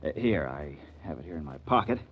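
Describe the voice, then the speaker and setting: A man's voice: a bass voice, big pitch swings, a little fast, husky, muffled recording, exaggerated voice. An actor playing a nervous, fretful male pawnbroker in a 1940s radio drama, hesitant and apologetic in manner.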